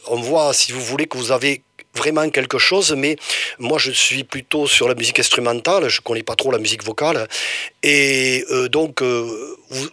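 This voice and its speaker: A man's voice: medium pitch, pushed and bright, dry recording, clean studio sound. A man interviewing a singer, asking long, rambling questions with many fillers. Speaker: radio interviewer.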